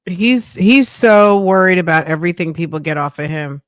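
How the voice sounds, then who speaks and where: A woman hosting a podcast, chatting casually and firing off quick, probing questions. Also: lowish voice, expressive. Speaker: podcast host.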